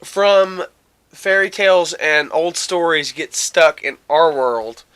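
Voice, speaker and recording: Bright-voiced man, halting casual chat. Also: on the high side, clear, resonant tone. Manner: halting, searching for words, often repeating phrases. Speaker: podcast host.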